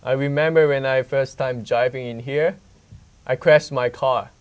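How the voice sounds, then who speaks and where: A man's voice: medium pitch, steady tone, unhurried, smooth. A young man from Hong Kong, an English speaker, telling candid stories as a student reporter in a chatty news segment. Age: young adult. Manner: simple non-native English, candid storytelling.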